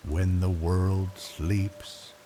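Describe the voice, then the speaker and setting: An older man narrating a bedtime story in a slow, reflective, wistful way, leaving long pauses between phrases. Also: deep voice, flat delivery. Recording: rain noise behind the voice. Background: soft rain ambience.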